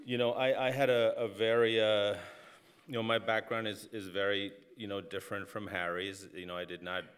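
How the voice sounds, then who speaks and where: A man speaks on a stage panel, thinking aloud in a somewhat low voice. He circles his points with many "you know"s and quick self-corrections, then presses them home. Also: little pitch movement, fairly bright tone, clear, resonant tone. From Mexico. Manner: reflective, many "you know" fillers and restarts. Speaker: film and TV director on a panel. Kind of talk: panel discussion.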